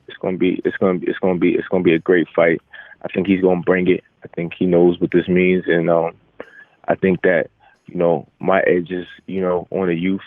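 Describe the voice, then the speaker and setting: A young man, a boxer, giving casual, halting interview answers with repeated phrase starts over a telephone. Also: sits low, no room sound. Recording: narrow, tinny phone line.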